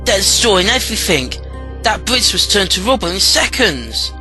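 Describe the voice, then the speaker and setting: Actor as anxious teenage boy, naive, bright voice, lively pitch, audio drama, clear musical backing. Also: normal speed, soft background music. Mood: anxious, overwhelmed.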